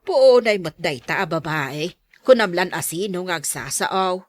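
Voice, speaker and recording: A woman's voice: lowish voice, animated, unhurried, no echo. A woman acting a sympathetic, indignant mother figure in a radio drama, commiserating as she speaks. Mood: indignant sympathy.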